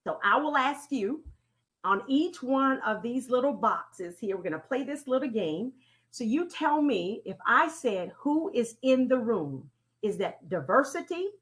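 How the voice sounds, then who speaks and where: A woman leading an interactive training talk in a deliberate, teacherly way, putting questions to her audience and repeating key phrases. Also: on the high side, very animated. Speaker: presenter leading a training session. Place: online conference call with slides.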